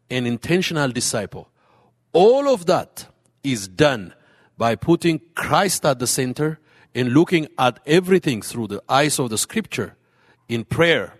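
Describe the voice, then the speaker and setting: Earnest halting pastor in a big hall. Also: male voice, medium pitch. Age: late 30s. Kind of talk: panel discussion answer. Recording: hall reverb.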